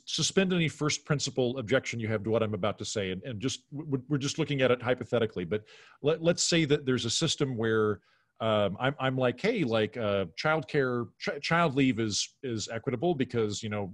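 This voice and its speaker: A man's voice: medium pitch, expressive, fairly quick, dry recording, studio quality. A middle-aged American man chatting casually and giving his opinions as a podcast guest on a video call. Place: home room on a video call.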